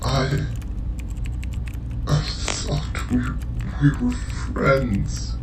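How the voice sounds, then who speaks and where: A man's voice: medium pitch, very slow. A man playing a trusting, anxious companion in a fan audio drama, hesitant and earnest, full of questions. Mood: panicked, hurt. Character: trusting, anxious male companion, betrayed and pleading. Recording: echoey, distant from mic, music behind.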